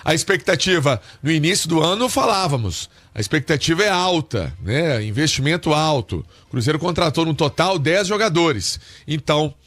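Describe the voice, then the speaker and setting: A male sports radio commentator with a fairly high voice, talking through club news in an easy conversational way. The studio sound is dry and close, with no room around him. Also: big pitch swings, normal speed, hardly any pauses, fairly bright tone, dry recording. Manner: conversational, addresses co-host directly.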